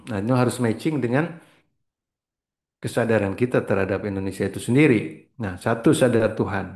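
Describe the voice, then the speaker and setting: Rambling low-voiced man. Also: a little fast, a little mellow. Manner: rambling, mixes English words, frequent throat clearing. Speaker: spiritual trainer. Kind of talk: casual motivational talk.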